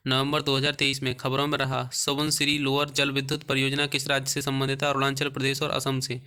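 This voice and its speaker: Bright, animated male quiz teacher. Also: mid-range voice, clean tone. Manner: brisk question-and-answer delivery, repeats key terms. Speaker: exam coaching teacher. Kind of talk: reading out current affairs quiz questions and short answers.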